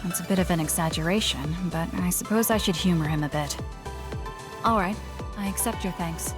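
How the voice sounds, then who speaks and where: A woman's voice: lowish voice, brisk, over music, lightly acted. An actress playing a cool, guarded, logical young woman soldier in a video game, speaking in a reserved, blunt, matter-of-fact way. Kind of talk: English video game voice acting.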